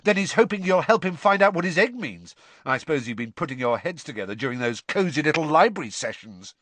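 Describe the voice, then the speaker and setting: A man's voice: fairly high pitch, sing-song, fairly quick, a bit bright, no echo, pitched above the performer's own voice. A man voicing a jealous, sulky teenage schoolboy in a storybook, scathing and sneering. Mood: nasty.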